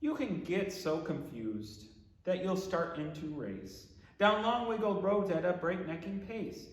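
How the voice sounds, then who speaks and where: A man with a high-pitched voice reads a children's storybook aloud in an expressive, theatrical way. His pitch swings widely through the rhymes, and he phrases each line deliberately. The sound is echoey, with long reverb around the voice. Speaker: pastor reading a children's book aloud. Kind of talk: expressive storybook read-aloud in rhyming verse.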